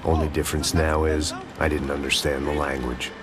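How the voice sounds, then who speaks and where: An American actor playing a world-weary, bitter middle-aged ex-cop, brooding and deadpan in noir game narration. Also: male voice, extremely low pitch, a little fast. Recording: loud music over the voice.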